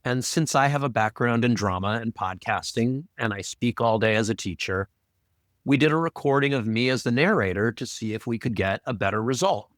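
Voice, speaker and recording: A man's voice: mid-range voice, expressive, a little fast, a bit bright, dry recording, studio quality. A man co-hosting a podcast in a conversational, explanatory style. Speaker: podcast co-host. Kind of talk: conversational production discussion.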